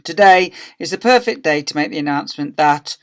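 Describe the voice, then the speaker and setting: A man's voice: fairly high pitch, expressive, brisk, lightly acted. A man voicing a kindly, doddery and very old headmistress from a children's story, cheerful and polite. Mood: grateful, cheery.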